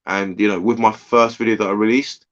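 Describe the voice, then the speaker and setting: A man's voice: on the low side, fairly even intonation, brisk, muffled recording. A man with a Yorkshire accent, a guest YouTuber chatting casually on a live stream, rambling with lots of fillers. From Yorkshire, England. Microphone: phone.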